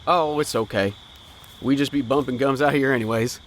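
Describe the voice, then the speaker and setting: A man's voice: medium pitch, moderate pace, slight background noise, lightly acted. An actor playing an easygoing, slangy teenage boy with folksy grammar in a period audio drama. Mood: carefree, teasing. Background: crickets and night insects.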